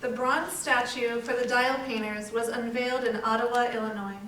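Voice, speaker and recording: A woman's voice: a little high, steady tone, normal speed, a bit bright. A woman reading scripted narration in a readers theater performance. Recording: distant mic, echoey room, faint noise.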